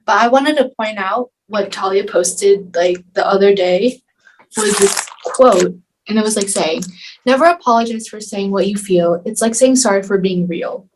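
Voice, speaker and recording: A woman's voice: mid-range voice, moderate pace, poor audio. An indignant teenage girl speaks as a guest on a video call, with a mocking edge. Faint noise sits behind her voice.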